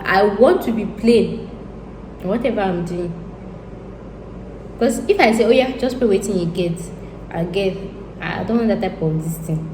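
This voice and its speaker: A woman's voice: medium pitch, darkish tone. Nigerian woman vlogger, thinks aloud and rambles, slightly roomy sound with faint hiss. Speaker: YouTuber. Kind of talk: casual monologue to viewers. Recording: echoey room with faint ambient noise.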